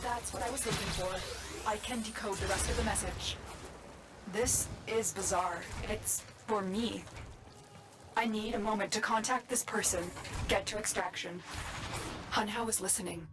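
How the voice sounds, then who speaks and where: An actress playing a grave, anxious guide who commands warrior operatives in a video game, speaking in a solemn, measured way. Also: female voice, mid-range voice, music in the background, natural voice. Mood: guarded. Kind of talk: scripted video game voice acting.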